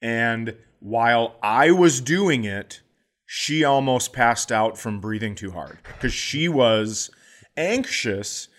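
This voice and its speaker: A man hosting a podcast, telling casual comic stories in a profane, animated way. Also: medium pitch, takes it slowly. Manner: profane, animated anecdotes with acted-out quotes. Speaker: podcast host, comedian.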